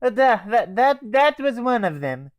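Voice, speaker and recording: A man's voice: extremely high pitch, big pitch swings, a little slow, dry recording, voice pushed up, heavily acted. A teenage boy voicing a Russian male soldier obsessed with explosive charges, teasing and calling everyone comrade. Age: teens. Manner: teasing, calls listener comrade.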